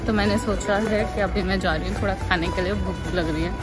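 A woman's voice: medium pitch, steady tone, fast. A young woman from India chatting casually to her phone camera as she walks through busy city streets. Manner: chatty, mixing Hindi and English. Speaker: travel vlogger. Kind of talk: casual walking vlog. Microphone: phone at arm's length. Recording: outdoor street noise with loud music.

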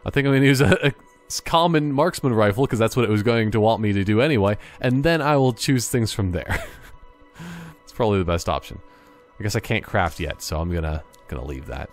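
A man with a fairly low voice talks through a video game in a casual, joking way. He thinks out loud and drifts into tangents, with music in the background. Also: brisk. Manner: rambling, thinking aloud in tangents. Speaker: gaming YouTuber. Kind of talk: casual, jokey gameplay commentary.

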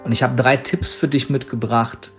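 A man giving an earnest, emphatic motivational talk as a coach, addressing the listener directly with deliberate phrasing. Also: mid-range voice, steady tone. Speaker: motivational coach, podcast guest. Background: background music.

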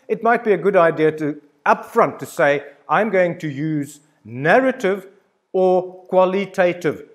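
Older man lecturing, high-pitched and lively. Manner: emphatic, conversational, direct address to the listener. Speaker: university lecturer. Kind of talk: informal instructional lecture with storytelling examples. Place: indoor studio with plain backdrop.

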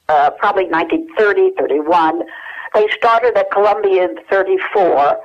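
A woman in her eighties, speaking as an interview guest by telephone, hesitant and searching her memory with many fillers. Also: mid-range voice. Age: 80s. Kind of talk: phone interview. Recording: muffled telephone line.

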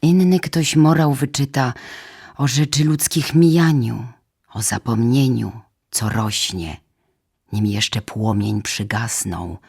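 A woman with a low, husky, breathy voice reads poetry in a solemn, dramatic way, phrasing deliberately in short measured lines. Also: darkish tone. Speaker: poetry reader. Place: radio theatre studio.

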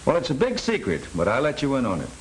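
An actor playing a suave middle-aged American older brother in a 1930s film, on a crackly, clicking soundtrack. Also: male voice, medium pitch, huge pitch swings, fast talker. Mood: fond, teasing. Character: suave racket boss, doting, teasing older brother.

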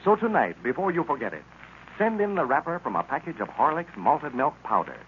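A man's voice: fairly high pitch, big pitch swings, fairly quick. A man working as an old-time radio announcer, reading scripted sponsor copy with polished, persuasive salesmanship. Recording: tinny, noisy old radio recording.

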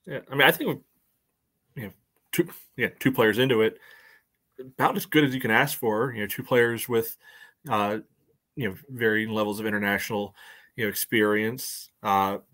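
A man's voice: on the low side, moderate pace, long pauses, fairly bright tone. An American man in his forties co-hosting a casual soccer chat over a video call. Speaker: sports podcast co-host. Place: room at home.

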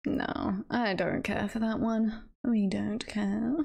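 A woman talks casually on a livestream in a mid-pitched voice with heavy creak. She takes her time, hesitating as she chats to her viewers and weighs up rankings. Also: fairly even intonation, takes it slowly, a little mellow. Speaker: streamer. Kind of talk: casual livestream chat, ranking tier lists.

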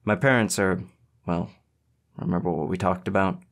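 A man's voice: deep voice, fairly even intonation, normal speed, fairly bright tone. A man voicing an anxious, sweet and sensitive teenage boy in audiobook dialogue. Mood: tense.

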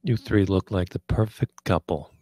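A man's voice: sits low, moderate pace, darkish tone, dry recording. A man reading the part of a cocky, menacing, sarcastic young drug dealer in a screenplay table read. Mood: smug. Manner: sarcastic, foul-mouthed, taunting.

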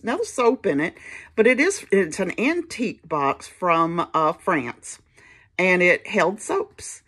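Lively low-voiced woman chatting through a haul. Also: husky. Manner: chatty, rambling, frequent fillers and restarts. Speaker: vintage reseller host. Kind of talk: casual haul show-and-tell narration.

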